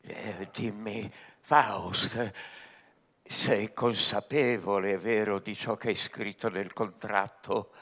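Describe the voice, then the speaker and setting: An actor playing a sly, patient devil in a theatrical verse radio drama, speaking in a measured, insinuating way. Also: male voice, lowish voice, fairly even intonation, a bit bright, full character voice. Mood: careful, insinuating. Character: sly, patient male devil bargaining for a soul.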